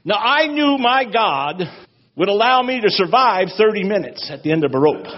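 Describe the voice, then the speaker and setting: Husky-voiced man preaching a testimony. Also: sits high, moderate pace. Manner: dramatic, swings between hushed and excited. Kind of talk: sermon testimony. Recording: dull lo-fi recording.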